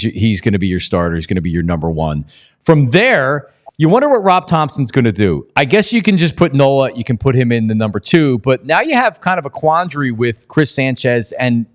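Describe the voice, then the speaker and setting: Male sports talk host, casual and wordy, highly expressive swings in pitch.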